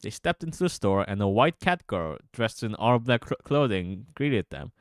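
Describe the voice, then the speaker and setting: A man's voice: on the low side, very animated, moderate pace, no echo, clean studio sound. A man streaming, reading narration from their own visual novel aloud with casual asides. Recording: music bed behind voice. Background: guitar music.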